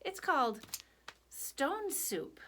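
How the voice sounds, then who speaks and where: A woman narrating a picture book for children at storytime, deliberate and expressive, pausing between phrases. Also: high-pitched, a little hiss or hum.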